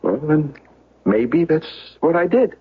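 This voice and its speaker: A tinny old radio recording of a desperate accused man. His husky voice swings widely in pitch, halting and bewildered, in mystery drama style. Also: lively intonation, heavily acted. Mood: weary, insistent. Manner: halting, distressed. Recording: thin, band-limited old radio broadcast.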